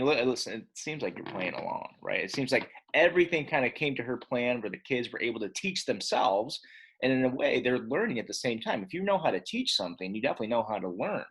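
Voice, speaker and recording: Animated, husky-voiced male teacher. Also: mid-range voice. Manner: animated, chatty, addresses pupils directly. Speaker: elementary school teacher. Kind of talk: casual read-aloud lesson for children.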